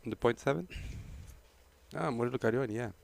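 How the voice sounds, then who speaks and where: A man with a Brazilian Portuguese accent doing excited play-by-play commentary that rises with the race action. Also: mid-range voice, fast talker, creaky. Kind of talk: live sports commentary.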